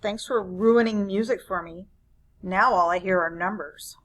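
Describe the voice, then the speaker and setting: A woman's voice: mid-range voice, unhurried, a bit bright, poor audio. A woman reading a children's picture book aloud as a grumpy, bored, reluctant narrator who complains expressively. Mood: exasperated.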